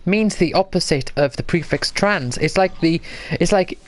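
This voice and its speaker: A young man chatting on a livestream, mostly short interjections and calm explanations. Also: high-pitched, moderate pace. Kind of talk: casual chat on a livestream.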